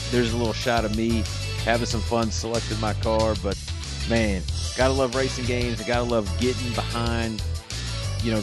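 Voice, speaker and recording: A man from Texas with a Texan accent, talking casually and enthusiastically in a rambling vlog style as a retro game collector. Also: mid-range voice. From Texas, United States. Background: music.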